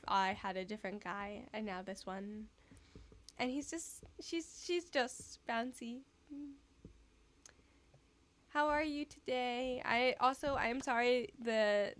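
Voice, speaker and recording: A woman live streamer chatting casually with her viewers, giggly and rambling. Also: on the high side. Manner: giggly, rambling, soft-spoken.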